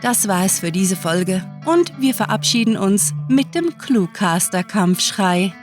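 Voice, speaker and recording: A woman's voice: mid-range voice, expressive, a little slow, over music. A woman hosting a podcast, reading a scripted outro to listeners. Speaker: podcast host.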